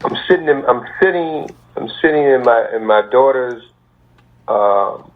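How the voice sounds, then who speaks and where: A man, a basketball coach, talks very slowly and deliberately, restarting his sentences as he gathers his thoughts. His voice sits at a middle pitch and comes through a tinny phone line. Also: lively intonation. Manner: slow, deliberate, repeats himself as he starts. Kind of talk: guest answer on a podcast. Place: daughter's apartment.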